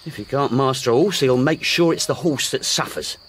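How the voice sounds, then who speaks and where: An actor playing a humble, earnest racehorse jockey in a radio drama, deferential, with rustic, ungrammatical speech. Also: male voice, medium pitch, a little fast, a bit bright, a little hiss or hum, natural voice. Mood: earnest, indignant. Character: humble, earnest working-class racehorse jockey devoted to his horse.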